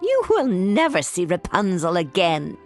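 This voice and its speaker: Woman as wicked old fairy-tale witch, menacing, accusing, very animated intonation, soft music behind. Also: lowish voice, unhurried. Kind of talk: storybook character voice.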